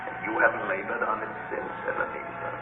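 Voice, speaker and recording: An actor playing a solemn, tormented male ghost, intoning gravely in 1930s radio drama style with an echo effect. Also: lowish voice, level tone, a little fast, phone-quality sound, over music. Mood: mournful. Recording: old radio broadcast, long echo, thin band.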